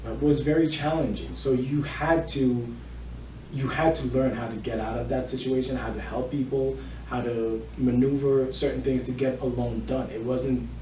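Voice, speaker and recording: An echoey, slightly noisy room recording of a man being interviewed. He is middle-aged and has a mellow voice. He explains things at length as a loan officer. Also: mid-range voice, fairly even intonation, normal speed. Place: indoor room with small audience. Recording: echoey room, distant mic, faint noise.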